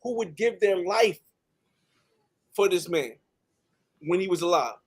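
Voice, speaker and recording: High-pitched emphatic American man. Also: expressive, measured pace, breathy edge. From United States. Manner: emphatic, repeats phrases for stress. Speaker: panelist. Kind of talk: casual panel talk, travel advice.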